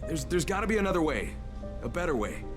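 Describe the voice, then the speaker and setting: An actor playing a weary, blunt but caring undercover agent in a video game, speaking naturalistically. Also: male voice, on the high side, sing-song, fast, over music. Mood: pleading.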